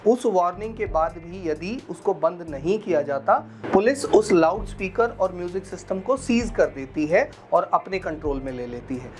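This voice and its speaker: A woman's voice: lowish voice, expressive, normal speed, few pauses, darkish tone, slight background noise. An Indian woman presenting a scripted legal explainer, instructing viewers and mixing in English terms. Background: background music.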